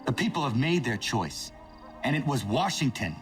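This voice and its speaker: An actor playing an earnest young assassin in a video game, solemn, formal and blunt. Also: male voice, mid-range voice, very animated, moderate pace, distant mic, faint music behind, lightly acted. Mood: defiant. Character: earnest young male assassin, stern and defiant. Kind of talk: video game cutscene acting.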